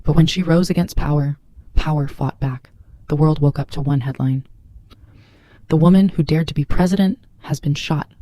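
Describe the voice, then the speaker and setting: A woman's voice: low-pitched, normal speed, mellow, dry recording. A woman delivering scripted trailer narration in a solemn, dramatic manner. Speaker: narrator introducing a story.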